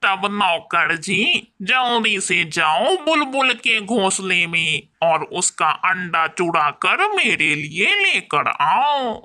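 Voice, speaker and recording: Cartoon villain crow, male, acted by a woman: scheming, commanding, bright and unhurried delivery. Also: on the low side, very animated, full character voice. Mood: gloating. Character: bossy, scheming male crow who casts spells. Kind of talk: Hindi cartoon story voice acting.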